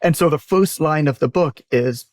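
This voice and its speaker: A man's voice: fairly high pitch, moderate pace, no echo, studio quality. A man speaking candidly and emphatically as an interview guest, telling stories conversationally and swearing freely. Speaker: guest, business owner and author.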